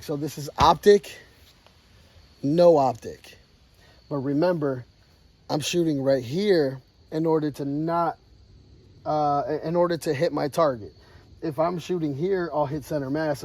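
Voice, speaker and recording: Hoarse man loudly coaching from a range. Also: on the high side. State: sick, losing his voice. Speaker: firearms training vlogger. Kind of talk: casual talk to camera at a range. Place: outdoor shooting range.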